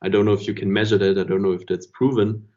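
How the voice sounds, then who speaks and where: A man's voice: fairly low pitch, flat delivery, fast, warm tone. A man in a casual podcast conversation, talking in a reflective, rambling way and often saying "you know". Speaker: podcast host. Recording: dull lo-fi podcast audio.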